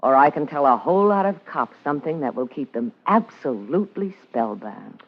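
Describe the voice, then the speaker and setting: Brassy, low-fi radio noir dame. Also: female voice, animated, moderate pace, husky, full character voice. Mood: tough, defiant. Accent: American. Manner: tough, sardonic, slangy. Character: brassy, hard-boiled, wisecracking nightclub party girl, a blackmailer. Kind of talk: 1950s radio noir acting, narration and dialogue. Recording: muffled vintage radio recording with music.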